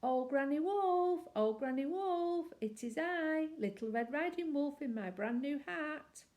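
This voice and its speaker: A woman's voice: high voice, lively intonation, measured pace, darkish tone, pitched above the performer's own voice. A woman voicing a sweet, polite little boy wolf in a storybook reading, talking in an eager sing-song way. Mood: cheerful.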